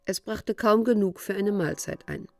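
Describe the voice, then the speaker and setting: A woman narrating a literary radio drama in a measured, sober, matter-of-fact storytelling manner. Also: mid-range voice, darkish tone, no room sound.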